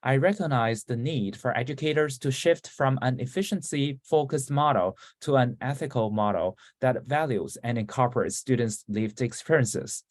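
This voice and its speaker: A man's voice: mid-range voice, normal speed, hardly any pauses. A man presents at an academic symposium in a formal, reflective tone. His delivery sounds read from a prepared script. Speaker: doctoral student presenter.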